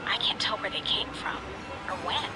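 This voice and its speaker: An actress playing a serious, businesslike intelligence handler in a video game, speaking in a precise briefing tone. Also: female voice, mid-range voice, animated, moderate pace, in a whisper, close to an everyday voice. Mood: uncertain, grave. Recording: loud music behind the voice.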